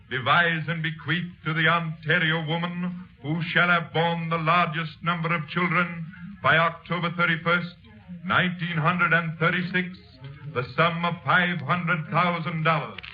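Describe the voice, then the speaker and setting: An actor playing a pompous man formally and deliberately reciting his will in 1930s radio style. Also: on the high side, flat delivery, close to an everyday voice. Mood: solemn, deliberate. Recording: dull old radio recording with music.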